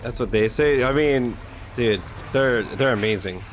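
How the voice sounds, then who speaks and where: A man's voice: medium pitch, measured pace, a little hiss or hum. A man streaming his reactions to game cutscenes in casual, joking chat, swearing freely. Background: video game cutscene audio and music.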